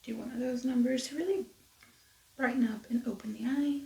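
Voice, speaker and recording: A woman losing her voice, chatting casually and rambling through a makeup tutorial with soft near-whispered asides. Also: sits high, steady tone, fairly quick, vocal fry, roomy sound, low-quality recording. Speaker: beauty vlogger. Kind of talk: casual get-ready-with-me makeup chat. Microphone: close mic at desk.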